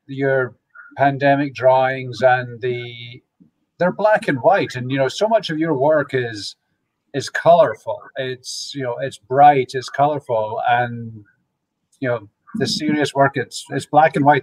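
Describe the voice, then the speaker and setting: A man's voice: medium pitch, measured pace, low-quality recording. Man, panel host on video call, conversational, asks follow-ups. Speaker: host and moderator. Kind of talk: moderating a panel.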